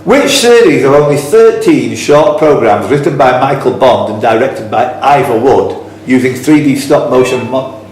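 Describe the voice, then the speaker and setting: A man's voice: medium pitch, lively intonation, moderate pace, few pauses, a little mellow. A man working as a quizmaster, reading out questions with blunt, teasing, informal banter. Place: echoey indoor club room. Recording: very echoey room, faint background noise.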